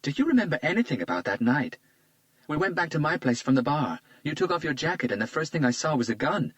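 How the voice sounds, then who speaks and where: A man voicing a playful young woman, high and bright. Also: very animated, fast talker, no echo, lo-fi sound. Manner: playful, teasing.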